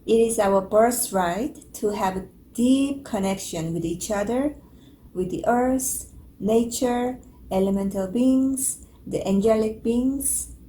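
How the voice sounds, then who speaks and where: A woman from South Korea, a native Korean speaker, gently telling her story as a spiritual teacher in English. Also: medium pitch, reverberant, slight background noise, low-quality recording. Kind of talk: calm introduction and personal story.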